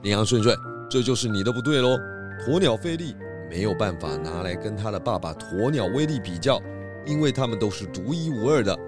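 A man playing a calm, shrewd, kindly pig detective in a children's audio drama, measured and gently probing. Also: on the low side, music in the background, close to an everyday voice. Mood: gently admonishing.

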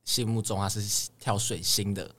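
A man's voice: on the low side, steady tone, moderate pace, bright, forceful tone. A young man, chatting casually as an idol guest. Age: young adult. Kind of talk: casual chat.

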